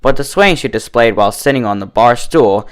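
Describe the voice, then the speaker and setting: A man narrates a story in a lively voice with plenty of pitch movement. He reads scripted prose aloud as a fan fiction reader. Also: fairly quick, a little hiss or hum.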